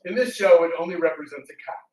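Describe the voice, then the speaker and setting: A man's voice: fairly high pitch, fast, a little mellow, soft background music. A man chatting casually to an audience as a theatre performer, telling anecdotes in a rambling way. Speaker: radio theatre performer giving a public talk. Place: indoor public talk room. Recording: roomy, distant mic with background noise.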